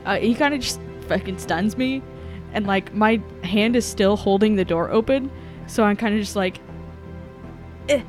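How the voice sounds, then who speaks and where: A woman's voice: mid-range voice, moderate pace. A woman playing a tabletop game, talking in casual, slangy language. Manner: informal, slangy. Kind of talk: casual play-along chat. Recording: music playing behind the voice.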